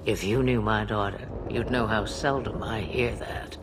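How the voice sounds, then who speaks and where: A woman playing an ancient, sly witch in a fantasy game, with a low voice that turns breathy, speaking in a teasing, theatrical drawl. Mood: wry. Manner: theatrical, drawn-out, teasing, sardonic. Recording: game dialogue under clear music.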